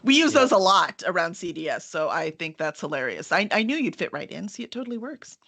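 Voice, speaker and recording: A woman hosting as a publisher in an informal, chatty and expressive way. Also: fairly low pitch, a little fast, gravelly. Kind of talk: casual hosting and interviewing, with read-aloud book copy.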